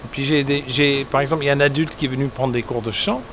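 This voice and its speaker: A middle-aged man from England talks easily at a mid-pitched level, wandering through his answers with pauses and restarts. He is recorded outdoors, with clear traffic and street sounds behind him. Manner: relaxed, rambling, many hesitations and restarts. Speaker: musician guest. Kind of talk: casual interview answers. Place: outdoors at a summer concert event. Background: traffic and street ambience.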